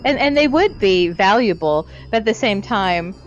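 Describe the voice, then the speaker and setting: A woman's voice: medium pitch, lively intonation, normal speed. A woman co-hosting a casual discussion, thinking aloud in loose, trailing lists. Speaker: podcast host. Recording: dull lo-fi audio, music behind.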